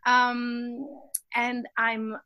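A woman with a French accent, a guest on a podcast, speaking in halting English with restarts and frequent laughs. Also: on the high side, completely flat delivery, highs cut off. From Switzerland. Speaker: podcast guest, physics teacher and business coach. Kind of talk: casual interview chat.

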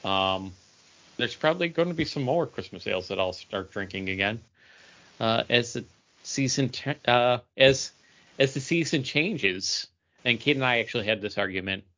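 A man's voice: medium pitch, lively intonation, normal speed, pushed and bright. An American man in casual conversation as a podcast co-host. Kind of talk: casual chat. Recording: dull lo-fi voice recording.

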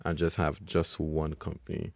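A man co-hosting a podcast, chatting casually in a relaxed, rambling way. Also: rumbling low, normal speed, no room sound. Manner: relaxed, rambling with restarts and laughs. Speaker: podcast co-host. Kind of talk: casual chat.

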